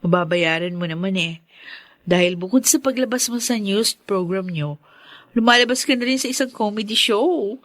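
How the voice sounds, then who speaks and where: Actress as a warm young Filipina sweetheart, dry sound. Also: female voice, mid-range voice, moderate pace, dry recording, natural voice. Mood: admiring, upbeat. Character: warm, admiring young woman, loyal sweetheart friend. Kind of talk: radio drama acting.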